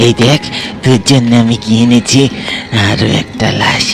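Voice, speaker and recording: A man's voice: mid-range voice, fairly even intonation, slow pace. An actor playing a ruthless, power-hungry male tantric in a horror cartoon, with a commanding, incantatory manner. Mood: eager, sinister. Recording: loud music behind the voice.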